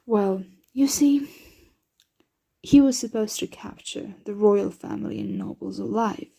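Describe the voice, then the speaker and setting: A woman playing a slow, breathy, aristocratic vampire mistress. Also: medium pitch, dark tone. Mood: frustrated, weary. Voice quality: breathy, often dropping to a whisper. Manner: slow, measured, formal diction. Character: protective, aristocratic female vampire mistress, anxious and doting. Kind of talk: ASMR roleplay.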